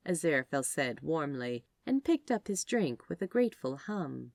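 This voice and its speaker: A woman's voice: deep voice, very animated, normal speed, gravelly, no room sound, clean studio sound. A woman narrating scripted fiction expressively, as a storyteller reading aloud.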